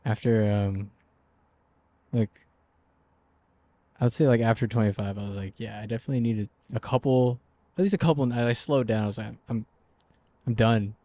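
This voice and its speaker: A man in his twenties co-hosting a podcast, talking in a relaxed, slangy way and laughing often. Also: mid-range voice, moderate pace, long pauses. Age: 20s. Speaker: podcast co-host. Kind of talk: casual chat.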